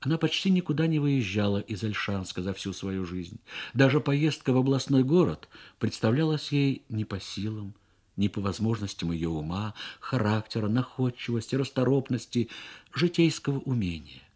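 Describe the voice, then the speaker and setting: A man reads a literary short story for radio in measured, expressive phrases with frequent pauses. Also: fairly low pitch. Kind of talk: literary narration, Soviet radio story reading.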